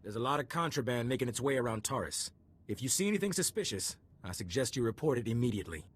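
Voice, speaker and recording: An actor playing an officious, self-important customs agent in a video game, pompous and condescending. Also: male voice, medium pitch, animated, fast talker, slightly rough. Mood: smug warning.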